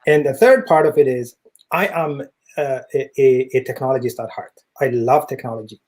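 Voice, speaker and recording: A middle-aged man with an East African accent explaining himself as an interview guest, earnest and measured, with hesitant phrasing. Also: medium pitch, darkish tone. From East Africa, Ethiopia and Kenya. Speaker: interview guest, tech entrepreneur.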